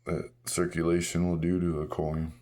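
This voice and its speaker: Hoarse male vlogger, very low, loose self-correcting chat. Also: completely flat delivery, normal speed, mellow. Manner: rambling, self-correcting, chuckles at himself. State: hoarse, partly lost voice. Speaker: coin-collecting vlogger. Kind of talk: casual show-and-tell vlog.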